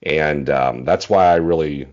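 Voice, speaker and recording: A man in his forties with a remarkably deep voice talks casually as an interview guest. He thinks aloud in measured phrases. The sound is slightly muffled, like a home video call. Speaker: interview guest, bass singer. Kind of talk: casual interview chat about singing technique. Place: small indoor room at home, video call. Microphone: earphones on a video call.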